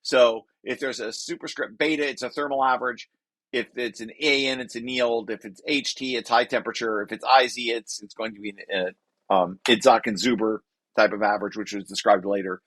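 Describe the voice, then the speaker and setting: Bright-voiced man explaining a technical paper. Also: medium pitch, fairly even intonation, a little fast, dry recording. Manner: explanatory, often breaking off to correct and rephrase. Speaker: author reading and explaining a research paper draft. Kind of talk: read-through lecture with informal asides.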